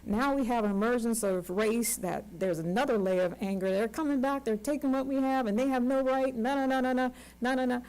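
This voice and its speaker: A woman's voice: fairly high pitch, fairly quick, few pauses, darkish tone, clear, resonant tone, poor audio. Earnest woman in her sixties. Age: 60s. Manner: earnest, emphatic, repeats words for stress. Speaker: community organizer on a panel. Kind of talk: unscripted panel remarks. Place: conference panel.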